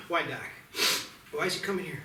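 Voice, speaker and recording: A man's voice: fairly high pitch, moderate pace, lightly acted. A man at a screenplay table read playing a skeptical, practical small-town sheriff in a dry, conversational way. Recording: noisy, echoey room, distant mic.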